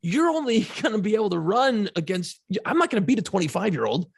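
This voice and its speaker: Gravelly fifty-something man, lively and profane. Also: high voice, quick pace, dry recording, studio quality. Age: 50s. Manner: profane, laughing, animated storyteller. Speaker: podcast co-host. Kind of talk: casual chat.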